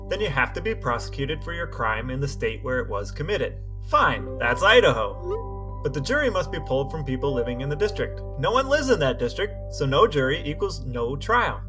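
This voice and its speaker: A man co-hosting a podcast, laying out facts in a conversational, explanatory way. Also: fairly high pitch. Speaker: podcast co-host. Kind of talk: reading texts and recounting facts conversationally.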